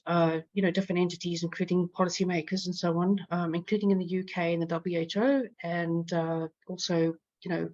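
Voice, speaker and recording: A woman with a South African accent, a patient advocate speaking on a panel from an online call, measured and hesitant with many fillers. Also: on the low side, muffled recording. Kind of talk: panel discussion, joining online. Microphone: video call.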